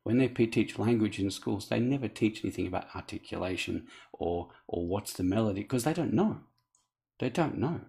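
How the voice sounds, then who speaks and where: A man speaks in a low, gravelly voice. He talks earnestly and off the cuff as a coach, breaking off and restarting his phrases. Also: lively intonation, normal speed, warm tone. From Australia. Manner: earnest, unscripted, restarts often. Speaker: accent coach. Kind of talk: direct-to-camera appeal.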